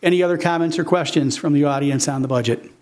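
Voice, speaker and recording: A man's voice: a little high, fast talker, a little mellow. A middle-aged man, the city manager, speaking courteously and matter-of-factly at a public council meeting. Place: council chamber.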